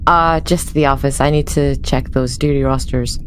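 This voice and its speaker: Woman playing deep-voiced, breezy starship officer. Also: fairly even intonation, normal speed, slight background noise. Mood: breezy, confident. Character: upbeat, cocky starship officer who loves karaoke.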